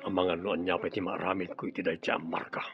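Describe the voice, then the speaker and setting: A man's voice: deep voice, level tone, fast talker, dry recording, dull audio, faint music behind, full character voice. A man with an Ilocano accent playing a domineering, irritable and two-faced crime boss uncle in a radio drama. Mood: sly, curt. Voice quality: gravelly. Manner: domineering, curt.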